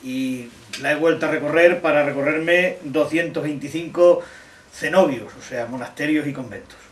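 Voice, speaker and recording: A middle-aged or older man from Extremadura in Spain, answering interview questions deliberately, often rephrasing as he goes. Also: a little high. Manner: deliberate, halting, rephrasing as they go. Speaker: interviewee, technology teacher and amateur poet. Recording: roomy, distant mic, faint noise.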